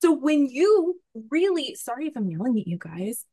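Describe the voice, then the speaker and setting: A woman with a fairly high voice, recorded close and dry. She hosts a podcast in an informal, effusive way, working out her thoughts aloud as she chats. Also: big pitch swings, normal speed, dry recording. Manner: effusive, informal, thinks out loud. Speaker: podcast host interviewing a guest. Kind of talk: casual podcast conversation.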